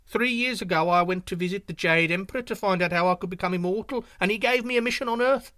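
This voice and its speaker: A man's voice: fast, sharp tone, no echo, studio quality. A man voicing a small, boastful male spotted deer who brags scornfully in a storybook tale. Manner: scornful, shrill bragging.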